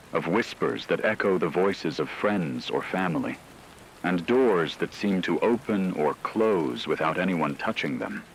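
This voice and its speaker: Guy doing a deep voiced grave radio anchor for apocalypse drama, measured and formal, rain noise behind. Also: poor audio. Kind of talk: scripted dramatic broadcast narration.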